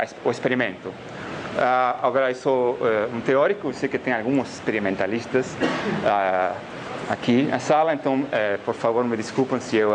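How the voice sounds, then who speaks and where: A man's voice: medium pitch, background noise. A man from Argentina with an Argentine Spanish accent, giving a physics colloquium talk in Portuguese, hesitant with many filler sounds. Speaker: physics lecturer. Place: lecture hall.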